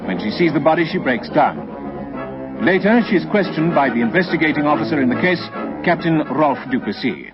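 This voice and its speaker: A man's voice: on the high side, big pitch swings, moderate pace. A man narrating a radio crime drama in a formal, factual manner. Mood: grave, factual. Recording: dull old recording, music behind.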